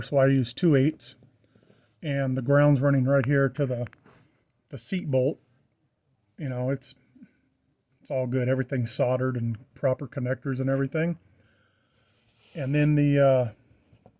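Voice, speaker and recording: A man's voice: mid-range voice, dark tone. A man giving a casual, plainspoken hands-on walkthrough of his own project, informally pointing things out as he goes. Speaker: vlogger showing his truck wiring project.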